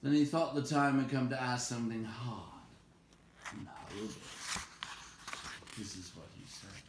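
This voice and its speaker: A man narrating a storybook aloud in an expressive read-aloud style. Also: mid-range voice, measured pace. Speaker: storybook reader. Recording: echoey room with faint background noise.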